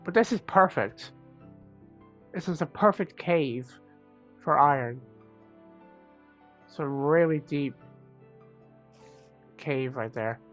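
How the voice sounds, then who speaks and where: A man with a cold, sounding croaky and sniffly, rambling casually as he comments on his own gameplay, with self-mocking asides. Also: fairly high pitch, slow pace, long pauses, a bit bright, faint music behind. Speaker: gaming channel host.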